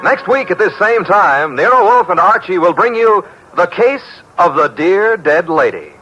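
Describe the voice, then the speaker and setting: A man's voice: sits high, very animated, unhurried, pushed and bright, breathy edge, faint music behind. A man reading closing credits and an upbeat network promo in 1940s radio announcing style. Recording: dull lo-fi 1940s radio broadcast.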